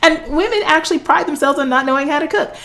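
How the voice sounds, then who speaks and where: A woman in her forties, a fitness coach, talks straight to the camera in a sassy, preachy way. Her voice sits a bit high, and she keeps calling her listeners honey and girl. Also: fast talker, fairly bright tone. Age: 40s. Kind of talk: direct-to-camera coaching talk.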